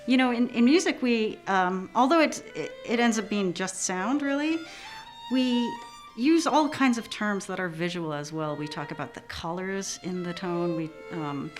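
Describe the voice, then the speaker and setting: A woman's voice: medium pitch, normal speed. A woman musician speaking reflectively in an interview, thoughtfully searching for her words. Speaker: orchestra musician. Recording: prominent music behind the voice. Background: string music.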